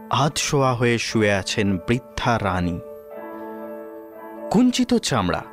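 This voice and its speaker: A man reading a detective story as scripted, dramatic narration. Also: medium pitch.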